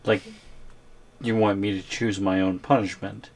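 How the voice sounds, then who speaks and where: Man playing a gravelly, wary captive boyfriend. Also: fairly low pitch, little pitch movement, measured pace. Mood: resigned, uneasy. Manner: hesitant, reasoning, persuasive. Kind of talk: audio roleplay acting, ASMR.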